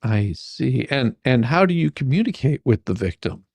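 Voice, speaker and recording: A performer doing a comedic dramatic reading as a rambling, halting, faux-folksy lawyer questioning a witness in a deposition. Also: mid-range voice, very animated, creaky, darkish tone, slightly rough, dry recording, full character voice. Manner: halting, repetitive, mock-sarcastic, stumbling over questions.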